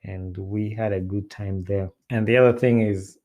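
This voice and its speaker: A man from Uganda, hosting a podcast in a relaxed, rambling way with many "uh" fillers. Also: on the low side, moderate pace. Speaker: podcast host. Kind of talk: casual solo chat.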